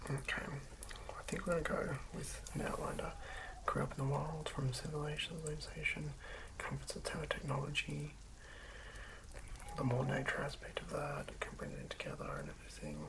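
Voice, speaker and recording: An ASMR host speaking in a hushed, halting whisper, musing aloud through unscripted commentary. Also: medium pitch, gravelly, rough recording.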